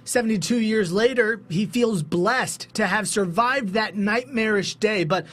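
A man's voice: high voice, measured pace, fairly bright tone. A young man reading scripted news narration as a television anchor. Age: young adult. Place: TV news studio.

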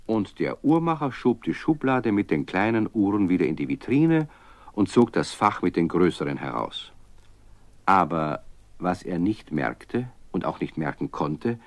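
An actor playing the storyteller of a children's radio play, giving scripted narration. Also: male voice, deep voice, a little mellow, no room sound, full character voice.

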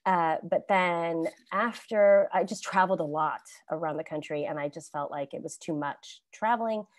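A woman's voice: fairly low pitch, moderate pace, no room sound. Chatty woman on a dry video call. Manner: chatty, animated, laughs often, many restarts. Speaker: alumni panelist, actor. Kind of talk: casual storytelling on a video call panel.

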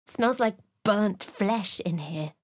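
A watchful fantasy game heroine recounting her travels. She speaks in a measured, dramatic storyteller's way with a wry edge. Her mid-pitched female voice is recorded very dry. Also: lively intonation, no room sound. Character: observant young adventuress, a fantasy game companion recounting her journey. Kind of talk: video game voice lines, dramatic narration and remarks.

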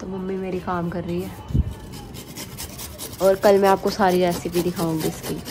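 A woman's voice: on the low side, flat delivery, moderate pace, noisy background. A young woman with a sore throat and a cold, chatting casually to her viewers in a home vlog filmed on a phone. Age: young adult. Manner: chatty, addresses viewers as guys. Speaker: vlogger. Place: home, indoors.

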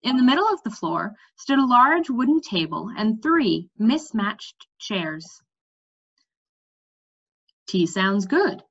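Young woman, bright voice, animated storybook narration, slightly dull home webcam sound. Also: on the high side, unhurried, hardly any pauses, husky. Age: young adult. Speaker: reader. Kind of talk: storybook read-aloud narration with lively dialogue.

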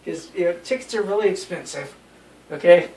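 A young man casually telling friends an anecdote in an animated way. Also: sits high, fairly quick. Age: young adult. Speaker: storyteller. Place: small bedroom, indoors. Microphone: phone camera a few feet away. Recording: roomy, distant phone mic with noise.

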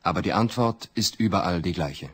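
A man's voice: low-pitched, lively intonation, a little fast, fairly bright tone, husky. A man narrating a plot summary in a plain, matter-of-fact way.

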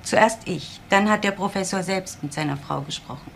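An actress playing a guarded, efficient laboratory assistant in a German crime radio drama, clipped and matter-of-fact. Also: female voice, on the low side, moderate pace, creaky, fairly bright tone, slight background noise.

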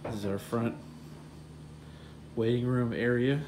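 A man casually walking through his print shop and explaining its equipment in a relaxed, technical way with lots of fillers, recorded on a phone he carries. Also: mid-range voice, a little slow, background noise. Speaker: print shop owner giving a tour. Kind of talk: casual walkthrough tour. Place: print shop offices and warehouse, indoors. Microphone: handheld phone camera, filming while walking.

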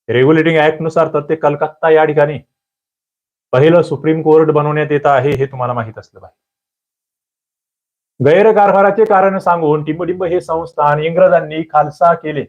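A man's voice: a little high, a little mellow, highs cut off. A man with a Marathi accent giving an online exam-prep quiz lecture, emphatic and repetitive, often putting questions to his listeners.

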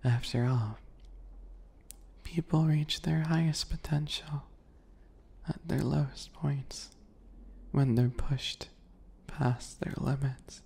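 A man voicing a sweet-spoken, obsessive male bunny predator in an ASMR roleplay, soft, breathy and teasing, often whispering. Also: mid-range voice, fairly even intonation, exaggerated voice. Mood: sadistic affection. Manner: slow, teasing, giggly, menacing.

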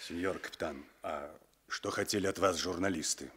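An actor playing a gruff, bullying police sergeant with a husky voice in a 1960s Soviet radio drama. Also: male voice, deep voice, moderate pace, fairly bright tone. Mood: knowing.

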